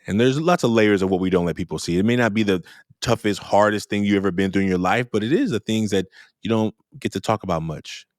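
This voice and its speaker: A man's voice: fairly low pitch, quick pace, dry recording, studio quality. A middle-aged man hosting a podcast interview, talking in a friendly, casual way and circling back over his words. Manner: friendly, repeats himself while practicing a name. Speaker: podcast host.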